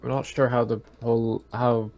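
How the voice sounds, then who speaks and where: A man's voice: medium pitch, little pitch movement, moderate pace, crowd noise. A man chatting casually as a player in a tabletop game, tentative and often trailing off.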